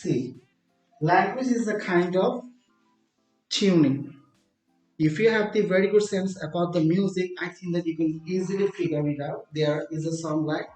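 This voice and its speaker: A man with a Bangladeshi accent, a native Bengali speaker, teaching an English grammar lesson slowly and often asking whether students follow. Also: sits high, darkish tone, heavy reverb, recorded from a distance, soft background music, poor audio. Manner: slow, explanatory, asks checking questions.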